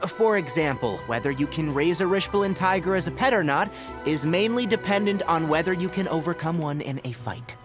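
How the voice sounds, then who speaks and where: A man's voice: fairly high pitch, animated, over music, natural voice. Tighnari, a man playing a lecturing young ranger. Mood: polite. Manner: measured, explanatory, lecturing. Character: patient young male forest ranger scholar. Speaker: game character.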